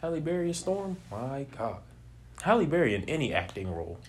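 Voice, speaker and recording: A man with a mid-pitched voice in casual conversation who teases his friend and breaks into laughter often. Allergies leave him sounding slightly nasal and sniffly. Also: fairly quick. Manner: teasing, laughs often, animated reactions. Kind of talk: casual chat.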